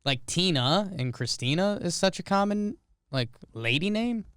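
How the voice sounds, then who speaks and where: Smooth American male co-host, dry sound. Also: high voice, animated, normal speed, fairly bright tone, no echo. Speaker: podcast co-host. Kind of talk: casual sports banter.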